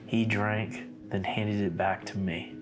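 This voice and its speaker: A man playing a guarded investigator who infiltrated a cult, narrating his account in a measured, somber, diary-like way. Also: fairly low pitch, steady tone. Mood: uneasy wonder. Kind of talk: scripted horror narration, diary-like. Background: music.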